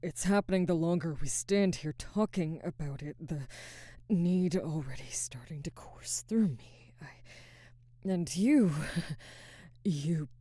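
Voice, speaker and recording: A woman playing a conflicted, protective alpha werewolf in an intimate ASMR roleplay, husky and halting, often slipping into a whisper. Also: sits low, dark tone, dry recording, studio quality. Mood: strained, hesitant. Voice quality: husky, breathy, frequently dropping to a whisper. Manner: halting, hesitant, sighing. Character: adult female alpha werewolf, conflicted, protective, barely restrained.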